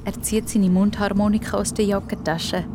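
A woman's voice: medium pitch, level tone, moderate pace, background noise. A woman with a Swiss German accent narrating a scripted audio story for children.

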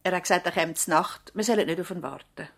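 A woman's voice: fairly low pitch, fast talker, creaky, fairly bright tone. A woman acting the part of a devoted, worried village nurse in a 1970s radio drama, speaking earnestly and politely. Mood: concerned. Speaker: actor in a radio play.

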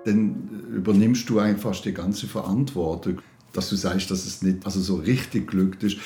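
An older man conducts a conversational interview. His voice is low and comes with noticeable room echo. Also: steady tone, normal speed, music in the background. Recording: echoey room sound.

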